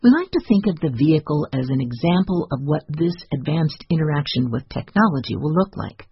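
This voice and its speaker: Husky man reading aloud, dull dry recording. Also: a little high, animated, brisk, no room sound. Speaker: narrator. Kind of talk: scripted read-aloud of an article with quotes.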